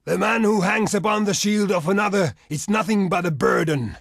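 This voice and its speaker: A proud barbarian chieftain from a strategy video game. His voice sits a little high for a man and is thick with gravel and husk, recorded close and dry. He declaims solemnly and defiantly in old-fashioned phrases. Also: normal speed, a bit bright, dry recording, full character voice. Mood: scornful, mocking. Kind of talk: dramatic game diplomacy voice acting.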